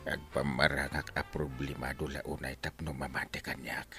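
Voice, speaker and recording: An actor with an Ilocano accent playing a stressed, broke village captain in a radio comedy drama, complaining with exaggerated exclamations. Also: male voice, rumbling low, little pitch movement, normal speed, over music. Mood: desperate, whining.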